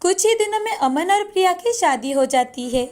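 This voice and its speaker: A woman's voice: very high-pitched, animated, normal speed. A woman narrating a scripted moral story for a cartoon, in the manner of a storyteller. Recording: music bed behind the voice.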